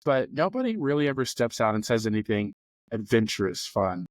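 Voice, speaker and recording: Young male podcast host, chatty and upbeat, mid-pitched, dry close sound. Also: very animated, a little fast, no room sound. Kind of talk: casual podcast interview.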